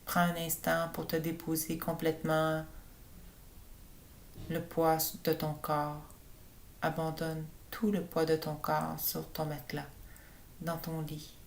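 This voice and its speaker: Low-pitched woman guiding a sleep meditation. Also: gravelly, slight background noise. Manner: slow, calm, soothing instruction. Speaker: yoga teacher leading relaxation. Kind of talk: guided meditation narration for sleep.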